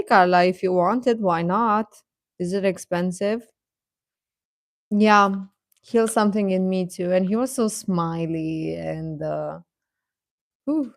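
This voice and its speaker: Woman livestreamer with unhurried, halting delivery. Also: lowish voice, creaky, dry recording, clean studio sound. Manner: hesitant, rambling, stop-and-start delivery. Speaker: livestream host. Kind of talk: casual livestream chat with viewers.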